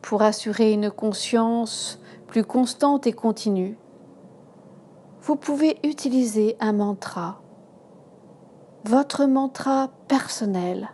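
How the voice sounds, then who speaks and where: A woman yoga instructor leading a guided relaxation in a calm, measured manner, giving instructions in short phrases. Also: a little high, a little hiss or hum. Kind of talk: slow guided relaxation meditation.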